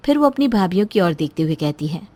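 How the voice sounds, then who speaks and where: A woman's voice: on the low side, very animated, fast talker, mellow, no echo. Woman narrating a story, dry sound. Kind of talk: scripted moral story narration.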